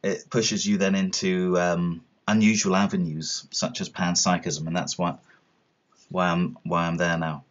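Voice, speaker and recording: Man, thirties, very low voice, conversational interviewee, thoughtful explaining, dull recording. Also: flat delivery, measured pace. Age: 30s. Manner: reflective, explanatory. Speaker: interviewee, philosopher.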